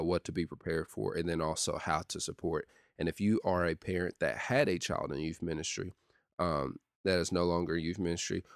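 Young adult youth pastor, very low deep voice, dry close sound, relaxed podcast chatter. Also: male voice, dry recording. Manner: steady and conversational with many ums and uhs. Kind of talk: casual solo podcast talk.

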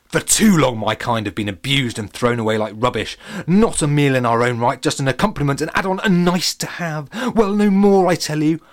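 Guy doing a tiny angry lemon, yelling high and wild. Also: expressive, brisk, few pauses, lightly acted. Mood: ranting. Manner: ranting, shouting. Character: furious little male lemon bent on revenge.

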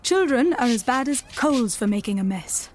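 A woman playing a warm, teasing wife of a struggling tradesman in a conversational period audio drama. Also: a little high, expressive, moderate pace, slight background noise, natural voice. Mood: warm, lightly teasing.

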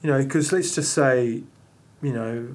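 A man's voice: medium pitch, measured pace, a little mellow. A man hosting a casual faith discussion, thinking aloud and rambling through his points with plenty of fillers. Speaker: podcast host.

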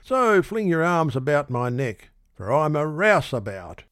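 Man playing a young sham lord, raised pitch, ardent, declamatory, ballad recital. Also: big pitch swings, measured pace, a bit bright, clear, resonant tone, no room sound, studio quality.

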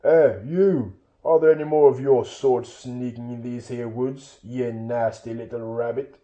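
A big drunken troll from a storybook, acted out by a man, loud and coarse, with rustic dropped-aitch speech. He is dim, suspicious and blustering, and his voice stays dark and rough-mannered. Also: mid-range voice, steady tone, measured pace, natural voice.

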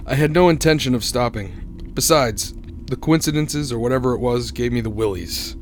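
A man's voice: mid-range voice, normal speed, a little hiss or hum, natural voice. An actor playing a haunted young motorist who tells his eerie story in a radio drama, grave and suspenseful. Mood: uneasy, suspenseful. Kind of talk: radio drama narration and acting.

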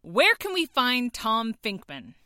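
A threatening female mercenary leader played by a woman in an audio drama. She is curt and commanding, with a forceful, fairly high voice recorded dry over faint music. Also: huge pitch swings, slow, no echo, heavily acted.

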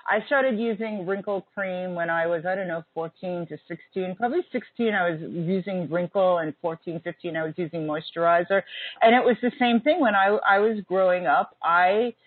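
A woman's voice: fairly low pitch, moderate pace, breathy edge. A woman in her forties hosting a casual chat, talking emphatically and chattily. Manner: chatty, emphatic, laughs often. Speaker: host. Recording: tinny phone-like line.

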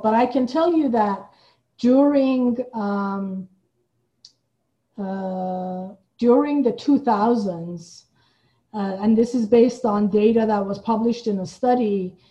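Dull-sounding, mellow-voiced woman, thoughtful interview guest. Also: a little high, slow. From Iran. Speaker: podcast guest, playwright and theater director. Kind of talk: reflective interview conversation.

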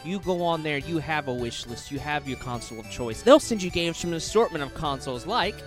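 An upbeat man co-hosting a podcast, his voice a little high and swinging widely in pitch. Music plays clearly behind him. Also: expressive, a little fast. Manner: upbeat, hyping. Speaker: podcast co-host. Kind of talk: casual chat and ad reads.

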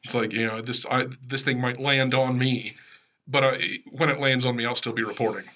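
A man's voice: medium pitch, steady tone, moderate pace, pushed and bright. A man with an American accent co-hosts a casual podcast chat with wry, teasing humor. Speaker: podcast co-host and radio play writer.

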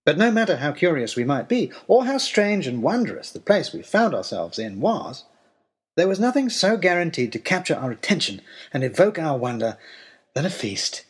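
Expressive male storyteller with swooping pitch. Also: moderate pace, husky. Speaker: storyteller narrator. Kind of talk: first-person scripted adventure narration.

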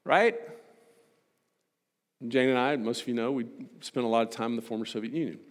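Man preaching in a very reverberant hall. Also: medium pitch. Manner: emphatic, rhetorical repetition with pointed pauses. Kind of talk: sermon to a congregation.

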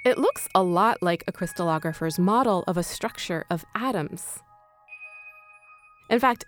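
A woman hosting and narrating a scripted audio documentary. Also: medium pitch. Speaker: podcast host and narrator.

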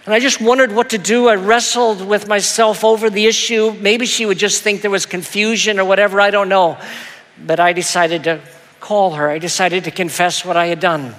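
A male pastor telling a story in a sermon, with a soft, soothing voice and a gentle, self-deprecating manner that stumbles into little repeats. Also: high voice, fairly even intonation, moderate pace. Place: church auditorium. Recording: long hall reverb.

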